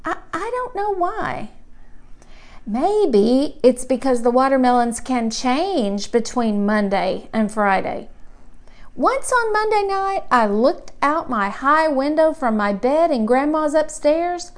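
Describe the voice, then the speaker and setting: A woman voicing a curious, literal-minded small boy in a children's storybook, musing in a puzzled, deliberate, childlike way. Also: high-pitched, expressive. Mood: earnest musing. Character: curious, literal-minded small boy narrating about his great-uncle.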